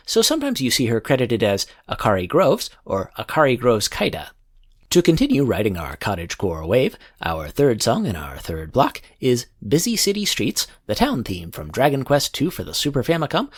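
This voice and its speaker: A man hosting a music podcast, reading scripted introductions in a measured announcer-like manner. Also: mid-range voice, breathy edge. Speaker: podcast host. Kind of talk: scripted music show narration.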